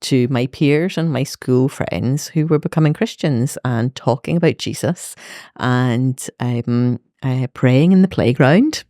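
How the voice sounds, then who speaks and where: A middle-aged woman with a Belfast accent, a medical educator speaking reflectively as an interview guest, with many pauses and fillers. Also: very deep voice, warm tone, no echo, clean studio sound. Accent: Northern Irish, Belfast. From Northern Ireland. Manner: thoughtful, many fillers and restarts.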